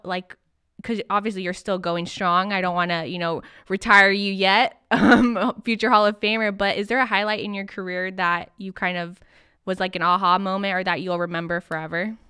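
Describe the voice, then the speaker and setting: Bright, clear-voiced woman hosting. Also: medium pitch, steady tone, moderate pace, dry recording, studio quality. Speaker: podcast host, interviewer. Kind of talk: casual podcast interview.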